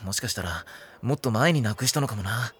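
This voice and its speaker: Wry, expressive teenage anime boy. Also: fairly low pitch, brisk, breathy edge, full character voice. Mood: concerned, reassuring. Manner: casual, exasperated straight man. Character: caring, wry teenage schoolboy, big-brother type. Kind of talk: Japanese anime audio drama acting.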